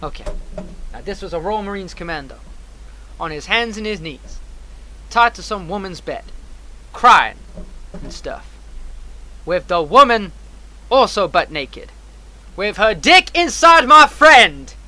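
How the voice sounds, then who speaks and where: A man's voice: high voice, animated, measured pace, long pauses, pushed and bright, poor audio. A man casually telling a personal story as a streamer, in an emphatic, slangy, heavily profane way.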